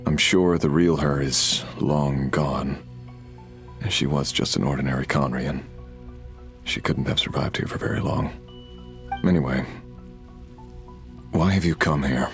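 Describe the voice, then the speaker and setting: An actor playing a sombre, abyss-corroded resurrected warrior in a video game, grave and remorseful, with halting, heavy pauses. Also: male voice, very deep voice, fairly even intonation, soft background music. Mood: wistful, sorrowful. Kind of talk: dramatic video game dialogue.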